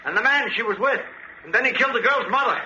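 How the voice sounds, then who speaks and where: Urgent, sharp-voiced policeman. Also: extremely high pitch, expressive, fast, exaggerated voice. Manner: urgent, clipped. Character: urgent policeman hunting a killer. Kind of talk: 1950s radio drama acting. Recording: muffled old radio, faint noise.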